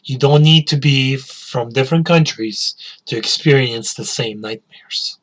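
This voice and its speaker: Slow-talking man teaching a lesson. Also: mid-range voice. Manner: asks questions and repeats key words for learners. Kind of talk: slow spoken English lesson.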